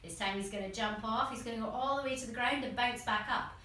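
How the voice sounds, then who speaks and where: A woman leading a warm-up as a workshop instructor, guiding step by step in an encouraging way. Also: a little high, quick pace. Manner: encouraging, step-by-step, demonstrates as she talks. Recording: very echoey room, distant mic.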